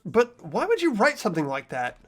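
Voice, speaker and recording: A man playing an earnest, lively young student. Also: high voice, a little fast, soft background music, full character voice. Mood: probing. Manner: probing, incredulous.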